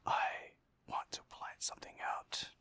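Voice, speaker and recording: A man's voice: unhurried, bright, forceful tone. A young man whispering close to the mic in a rambling, casual ASMR chat over gameplay. He mocks himself and swears now and then. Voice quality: breathy whisper. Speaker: ASMR gaming creator.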